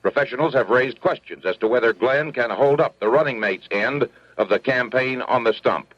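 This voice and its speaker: A male correspondent delivering a scripted radio news report in a crisp, formal way. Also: mid-range voice, moderate pace, a bit bright. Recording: dry, dull lo-fi radio audio.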